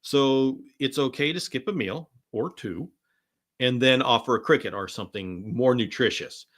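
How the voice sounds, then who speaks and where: A man hosts a live question session, answering viewers in a loose, enthusiastic ramble full of false starts. His tone is bright and forward, though his voice sounds worn and is starting to go hoarse. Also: medium pitch, moderate pace. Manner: enthusiastic, rambling with frequent restarts. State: voice going hoarse. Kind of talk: live Q&A chat.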